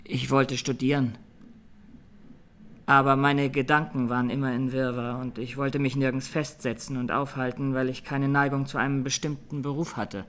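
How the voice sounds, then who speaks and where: An actor playing a young Armenian defendant, plain-spoken and haunted, giving measured testimony in a radio courtroom play. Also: male voice, mid-range voice, flat delivery, fairly bright tone, slight background noise, lightly acted. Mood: troubled.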